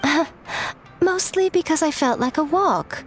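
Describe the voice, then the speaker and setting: A woman's voice: sits high, unhurried, a little hiss or hum. A woman playing a sly, elegant, haughty shrine priestess in an anime game, with an arch, teasing drawl. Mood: casual, breezy. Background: music.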